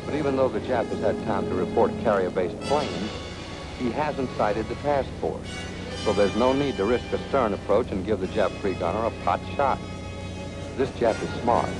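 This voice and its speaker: An American man narrating a 1940s military training film in a punchy, colloquial, dramatic storytelling manner. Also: on the low side. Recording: 1940s film soundtrack with music.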